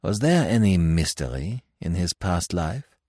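A man reading aloud, voicing a courteous, formal French magistrate who questions politely and gravely. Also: low-pitched, big pitch swings, measured pace, a little mellow, no echo, clean studio sound, exaggerated voice.

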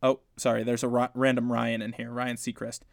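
A man's voice: medium pitch, brisk, gravelly, dry recording, studio quality. A man hosting a casual fan podcast, chatty and playful, laughing often. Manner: playful, laughs often, animated when quoting. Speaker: podcast host.

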